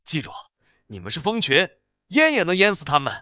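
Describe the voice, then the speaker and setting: Brash high-voiced fighter. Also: male voice, wildly swooping pitch, fairly quick, dry recording, full character voice. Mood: brash, fired up. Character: brash, rough-talking male fighter briefing his troops. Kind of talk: dramatic motion-comic voice acting. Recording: loud music under the voice. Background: loud music.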